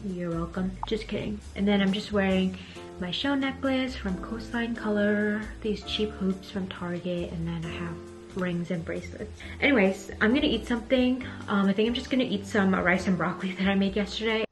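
A woman's voice: mid-range voice, normal speed. A young adult American woman chatting casually to the camera as a vlogger, in a conversational way peppered with filler words like "like" and "honestly". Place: small indoor rooms at home, bedroom. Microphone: camera at arm's length or on a desk. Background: background music.

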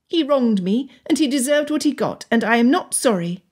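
A woman's voice: fairly high pitch, animated, normal speed, a little mellow, husky, voice pushed up. A woman voicing a stubborn, indignant young girl from a wealthy household in an audiobook, speaking formally and emphatically. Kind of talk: audiobook character dialogue.

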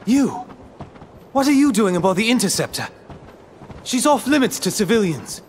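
An earnest young gentleman hero, fairly high in pitch. He speaks with anxious urgency and lively swings in video game drama, over faint ambient noise. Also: normal speed. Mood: urgent, determined.

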